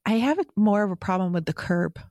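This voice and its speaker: A woman's voice: mid-range voice, animated, fast, mellow, dry recording, studio quality. A woman in her forties from Rochester, New York, hosting a podcast in a chatty, self-deprecating way and laughing often. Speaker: podcast host. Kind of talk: casual podcast chat.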